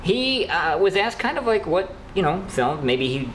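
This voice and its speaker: A man co-hosting a casual news chat, animated and playfully opinionated. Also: sits high, moderate pace. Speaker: co-host of a horror news show. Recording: roomy echo with faint noise.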